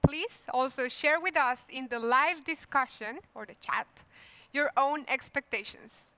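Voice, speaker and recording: A woman's voice: sits high, measured pace, pushed and bright, clean tone, dry recording, low-quality recording. A woman chairing a virtual youth forum, speaking in a polite, welcoming way.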